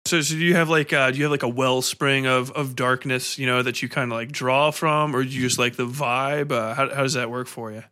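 Man, podcast host, bright crisp-edged tone, average pitch, casual, informal, stumbling over questions. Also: steady tone, a little fast, hardly any pauses. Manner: informal, stumbling, repeats words as he forms questions. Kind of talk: casual interview chat.

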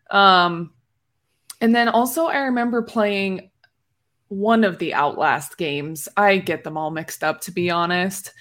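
A woman chatting casually as a podcast panelist, telling stories in an animated, giggly way. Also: medium pitch. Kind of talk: casual chat.

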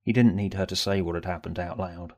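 A man's voice: low-pitched, fairly even intonation, fairly quick, darkish tone. A man reading a scripted story aloud as its narrator, with music behind him. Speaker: author and narrator.

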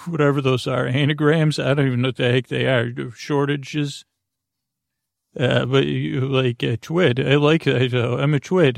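A man's voice: medium pitch, gravelly, dry recording, studio quality. A man hosting a sleep podcast, rambling in a silly, meandering way, often stammering and restarting. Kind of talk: rambling bedtime monologue.

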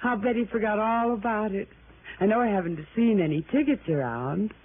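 A woman's voice: medium pitch, lively intonation, moderate pace, husky. An actress playing a talkative, evasive married woman in an American radio drama, with an American accent and a stammering, deflecting manner. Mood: evasive, flustered. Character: chatty, evasive married woman growing flustered under questioning. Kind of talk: mid-century American radio drama acting. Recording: noisy, narrow-band vintage radio recording.

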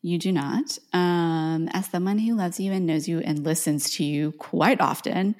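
Woman, young adult, podcast co-host, casual chat, playful, teasing, lowish pitch. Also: unhurried, a little mellow.

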